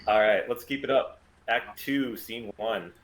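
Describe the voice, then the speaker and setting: A man's voice: on the low side, steady tone, measured pace, fairly bright tone. A man chatting casually as the writer leading a table read. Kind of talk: casual chat.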